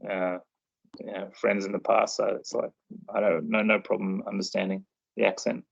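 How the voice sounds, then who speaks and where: Male podcast host, relaxed and rambling, gravelly voice on a dull recording. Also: on the low side, normal speed. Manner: laid-back, loose and rambling. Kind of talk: casual chat.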